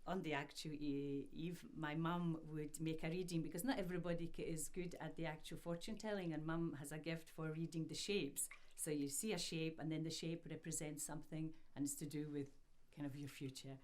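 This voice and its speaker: A middle-aged woman from Poland whose first language is Polish, speaking reflectively and hesitantly in an interview, with frequent pauses. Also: low voice, fairly even intonation, a little mellow.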